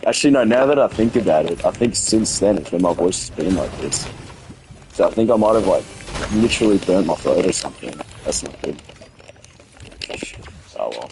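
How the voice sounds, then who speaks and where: A young man with a hoarse, sick-sounding voice gives rambling, swearing commentary as he streams a game and chats with viewers. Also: sits low, noisy background. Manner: rambling, swearing, frequent restarts. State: hoarse from a cold. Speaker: gaming streamer. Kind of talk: casual livestream gameplay commentary.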